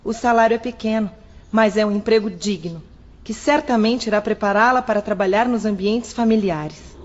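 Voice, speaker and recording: Brief: actress as a gentle older sister, warm reassurance, lo-fi audio drama sound. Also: female voice, mid-range voice, normal speed, a little hiss or hum, natural voice. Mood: tender. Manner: tender, reassuring. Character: caring older sister, young woman, hotel servant. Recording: lo-fi with long reverb.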